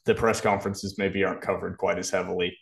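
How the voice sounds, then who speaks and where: A man's voice: sits low, level tone, fast talker, gravelly. A man co-hosting a sports podcast, giving casual analysis in a conversational way.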